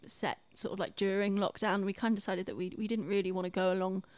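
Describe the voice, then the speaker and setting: A woman's voice: mid-range voice, level tone, fast, dry recording. A woman talking casually and earnestly on a podcast, explaining things in an explanatory way.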